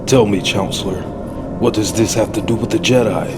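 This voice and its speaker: A man's voice: on the low side, a little fast, natural voice. A man playing a blunt, impatient and commanding Jedi master in a fan audio drama. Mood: questioning. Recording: music bed under voice.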